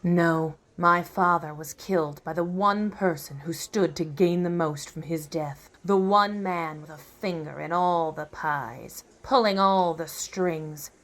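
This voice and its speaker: An actress playing a dramatic, world-weary femme fatale heiress in a 1940s-style radio play. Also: female voice, on the low side, slow, hardly any pauses. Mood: cool, accusing.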